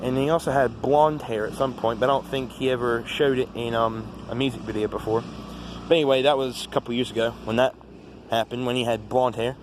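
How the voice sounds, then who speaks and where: A teenage male with an American accent gives a casual, rambling vlog-style recap of the day, halting often as he talks. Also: mid-range voice, steady tone. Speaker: vlogger. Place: outdoors. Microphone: phone. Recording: noisy outdoor phone recording with traffic.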